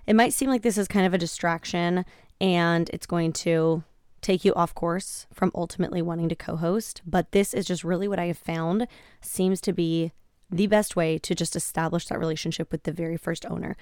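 A woman podcast host speaks in a casual, emphatic way, as if advising her listeners. Her voice sits a little low and sounds slightly croaky. The recording is dry, with very little room sound. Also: fairly even intonation, normal speed, a little mellow, no echo. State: slightly raspy, croaky voice after a conference. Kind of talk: casual solo podcast talk, advice.

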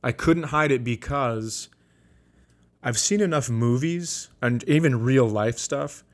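Male comedian podcaster, medium pitch, dry and sardonic casual chat, freely profane. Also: slow.

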